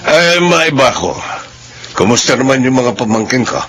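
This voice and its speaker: An actor with a Filipino accent playing a blunt, matter-of-fact middle-aged uncle in a radio drama. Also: male voice, mid-range voice, animated, normal speed, background noise, natural voice.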